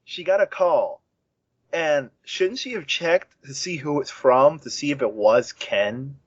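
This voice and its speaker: A man with a slightly high voice chats casually as a podcast co-host. He is jokey and insistent, often repeating his phrases. The recording is very dry and a little dull. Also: measured pace, husky, no room sound. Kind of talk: casual film-discussion banter.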